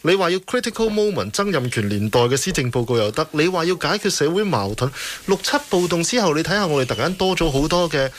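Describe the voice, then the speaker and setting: A man's voice: on the high side, expressive, fast, few pauses. A man with a Hong Kong accent giving conversational political commentary on a radio talk show.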